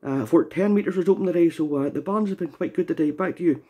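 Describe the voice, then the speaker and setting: A man with a mellow, husky voice pitched a little high. He talks like a relaxed ham radio operator on a contact, unhurried and casual, spelling things out phonetically. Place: indoor radio station, recorded near the equipment.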